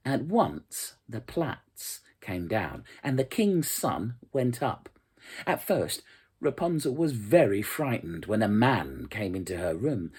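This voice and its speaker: Storyteller for fairy tales: husky, dramatic, measured, with very animated intonation. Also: mid-range voice. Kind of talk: scripted storybook narration.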